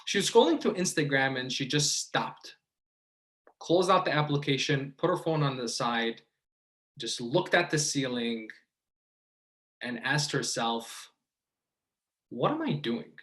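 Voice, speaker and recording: A man's voice: mid-range voice, fairly bright tone. American man giving a reflective religious lecture. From United States, from the Detroit and Dearborn area of Michigan. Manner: deliberate, with pauses and rhetorical questions.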